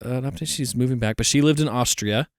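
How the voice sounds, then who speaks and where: A man's voice: medium pitch, fairly quick, no room sound, studio quality. A man co-hosting a casual podcast, reading out listener questions and laughing often. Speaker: podcast co-host. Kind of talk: casual chat.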